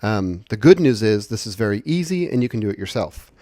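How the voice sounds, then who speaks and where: A man giving a casual, conversational software walkthrough, explaining things step by step. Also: lowish voice, big pitch swings, a little fast, no room sound, studio quality. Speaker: software tutorial presenter.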